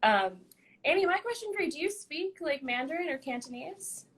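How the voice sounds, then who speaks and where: A woman's voice: high-pitched, very animated, a little fast, a bit bright, a little hiss or hum. Bubbly young woman hosting a livestream. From United States. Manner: bubbly, laughs often, many fillers. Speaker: host of a live chat. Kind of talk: casual chat on a livestream. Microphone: phone or laptop mic on a video livestream.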